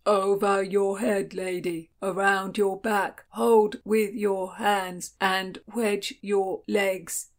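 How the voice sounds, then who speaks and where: A woman puts on a large male boatman from a storybook, stoic and dutiful. His words are few and come very slowly, each one set down deliberately as a plain command. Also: mid-range voice, level tone, close to an everyday voice. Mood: firm instructing. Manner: slow, deliberate, terse commands. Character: big stoic boatman, man, dutiful, terse. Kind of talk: audiobook character acting.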